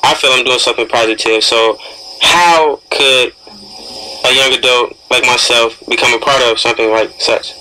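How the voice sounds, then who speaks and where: Very bright-voiced young American man. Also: mid-range voice, fairly even intonation, moderate pace, a little hiss or hum, rough recording. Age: young adult. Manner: repeats words for emphasis. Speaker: radio show co-host. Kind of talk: casual interview hosting.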